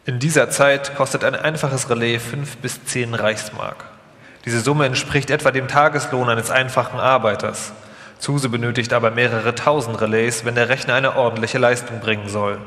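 A man's voice: medium pitch, steady tone, normal speed, bright, forceful tone. A man narrating a scripted documentary feature live. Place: live stage in a reverberant hall. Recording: echoey hall with long reverb.

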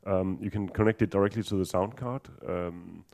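A deep-voiced Danish man presents at a software conference in accented English. His tone is dark and relaxed as he works through technical points. He pauses often and restarts sentences, with a dry, self-mocking humor. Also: gravelly. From Denmark. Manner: hesitant, many ums and restarts, dry humor. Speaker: conference speaker.

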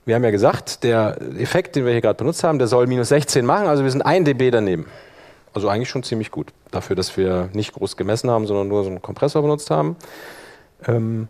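Male presenter, medium pitch, colloquial, explanatory, live software demonstration. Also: lively intonation, fast talker. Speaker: workshop presenter. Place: live workshop in front of attendees.

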